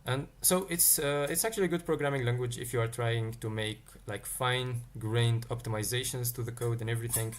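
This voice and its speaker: A man's voice: mid-range voice, brisk, hardly any pauses. A man from Tunisia, teaching a live-streamed coding lesson. He thinks aloud and answers chat questions as they come in. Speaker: livestream tech teacher.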